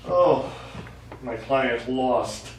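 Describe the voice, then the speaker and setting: A man's voice: mid-range voice, lively intonation, drawn-out words. Male actor as money-hungry lawyer, glib, self-amused, hesitant, stage play, heavy room echo, faint noise. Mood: smug, glib. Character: smarmy, money-hungry middle-aged male criminal defense lawyer. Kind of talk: live stage play acting. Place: small indoor theater studio. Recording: echoey stage recording from far off, faint noise.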